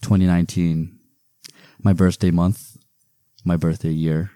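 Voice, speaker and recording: A man in his twenties co-hosting a casual podcast, playful, swinging between loud excitement and low mumbling. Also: a little slow, no room sound, studio quality. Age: 20s. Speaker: podcast co-host. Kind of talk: casual chat.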